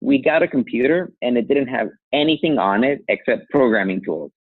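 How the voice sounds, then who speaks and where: A man in his thirties from Colombia, a guest talking casually about his work, relaxed and laughing lightly, often restarting phrases. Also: mid-range voice, brisk, breathy edge, dry recording. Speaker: guest, software engineer. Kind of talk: casual conversational interview. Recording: tinny phone-like sound, dry.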